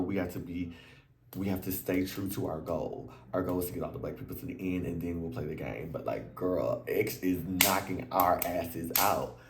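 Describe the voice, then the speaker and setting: Recap host, male, deep voice, a bit worn out, chatty and animated, echoey room. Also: slight background noise. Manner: animated and chatty, slangy, leaps into high exclamations. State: voice a little worn. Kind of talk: casual chat to camera.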